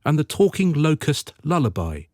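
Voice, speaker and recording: A man's voice: fairly high pitch, very animated, normal speed, a little mellow, no echo, studio quality. A man playing a dreamy, solemn storyteller, narrating a scripted radio drama. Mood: storytelling.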